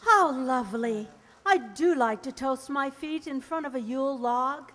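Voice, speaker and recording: A woman's voice: sits high, measured pace, a bit bright. A woman playing a sweet, flighty young aristocratic bride in an amateur radio play. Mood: sweet, flustered. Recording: reverberant hall-like radio play recording.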